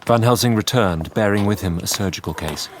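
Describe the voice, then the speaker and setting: A man playing an earnest Victorian doctor, narrating a radio drama gravely and deliberately in short phrases. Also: on the low side, background noise, natural voice. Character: earnest Victorian male doctor recounting horrors. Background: music.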